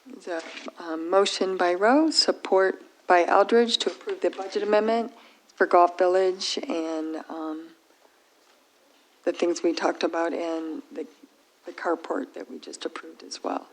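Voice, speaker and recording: A woman's voice: fairly low pitch, fairly even intonation, low-quality recording. Woman losing her voice chairing a meeting. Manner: brisk procedural calls for votes. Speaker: board chair. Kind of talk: running a public meeting. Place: township board meeting room.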